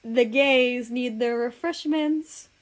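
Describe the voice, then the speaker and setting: A woman voicing brash, nosy teenage friends in a story, blunt, excitable and gossipy. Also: fairly high pitch, slow pace, pitched above the performer's own voice. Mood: catty, gossiping.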